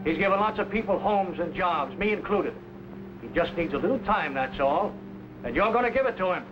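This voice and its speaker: A man's voice: sits high, lively intonation, brisk, background noise. An actor playing a loyal, hot-tempered Old West man in a 1950s drama, speaking with earnest emotion. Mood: threatening, heated. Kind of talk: 1950s western drama acting. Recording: muffled vintage soundtrack with loud music.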